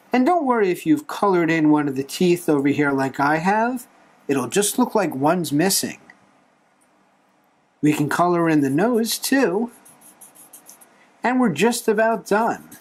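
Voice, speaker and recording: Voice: medium pitch, very animated, a little slow, long pauses. A tutorial host talking through a drawing step by step in a playful, encouraging manner. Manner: playful, light humour, encouraging.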